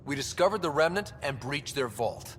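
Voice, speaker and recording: A man's voice: on the high side, expressive, normal speed, fairly bright tone, long reverb tail, a little hiss or hum. A man playing a young, upbeat and encouraging squad leader in a sci-fi video game. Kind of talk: video game dialogue acting.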